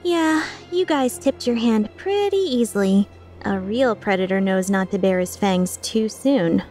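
A woman's voice: mid-range voice, lively intonation, unhurried, dark tone, faint music behind, lightly acted. A woman voicing a cocky female mercenary leader in a video game fan dub, playful, sarcastic and taunting with an edge of menace. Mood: sardonic.

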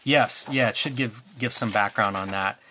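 A man in a casual show-and-tell chat about old comics, relaxed and musing, trailing off with fillers. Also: fairly low pitch, moderate pace, a little hiss or hum. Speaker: co-host showing comics.

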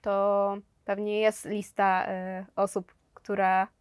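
A woman's voice: medium pitch, monotone, slow, clear, resonant tone, dry recording. A young woman hosting a sports interview, asking brief prompting questions from a studio sofa. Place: studio set with sofas, indoors.

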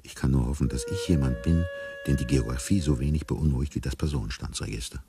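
A bewildered man with no memory, thinking to himself in a radio drama. The voice is extremely deep and gravelly, dark in tone. He muses in a hushed, wry, detached way. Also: quick pace, soft background music. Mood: wry unease. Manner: hushed, musing, often near whispering. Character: bewildered amnesiac man musing inwardly, wryly detached. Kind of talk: radio play inner monologue.

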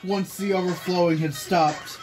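A man's voice: high voice, steady tone, normal speed. A young man reading fan fiction aloud to friends in an amused manner, recorded in a bedroom. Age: young adult. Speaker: reader, friend of the video maker. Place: small bedroom, indoors. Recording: room recording with loud background music. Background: music playing in the room.

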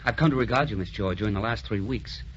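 An actor playing a smooth-talking, brazen ladies' man in old-time radio drama style. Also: lowish voice, fast, natural voice. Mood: seductive. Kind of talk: 1930s-40s radio acting. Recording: muffled, noisy old radio recording.

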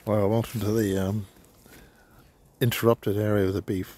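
A man's voice: lowish voice, level tone, normal speed, warm tone. An older man hosting a casual video blog, talking in a rambling, hesitant way with many fillers. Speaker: host. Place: indoor studio, then outdoors by a road.

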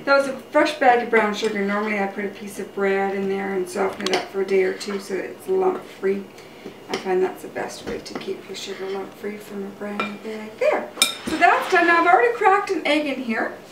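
A woman's voice: medium pitch, brisk. A middle-aged woman giving a chatty, step-by-step cooking demonstration in a casual way, as a home cook hosting her show. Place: home kitchen, indoors. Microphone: camera mic at a few metres. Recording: distant, roomy, loud kitchen clatter and noise.

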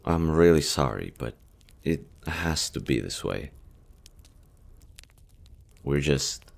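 A man acting a kind, earnest young army general in a romantic audio roleplay, hushed, halting and emotive. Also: a bass voice, vocal fry, full character voice.

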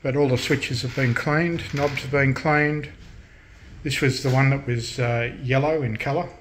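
Man, halting hands-on demonstrator. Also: mid-range voice, fairly even intonation, a bit bright, a little hiss or hum. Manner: unscripted, halting, matter-of-fact. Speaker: host of a hobby radio restoration channel. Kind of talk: casual hands-on demonstration talk. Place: indoors at a workbench in a room.

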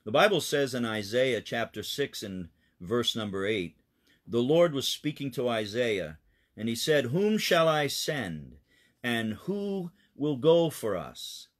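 A man, a pastor, reading a children's story aloud in a plain, explanatory way with deliberate phrasing and simple asides. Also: medium pitch, big pitch swings.

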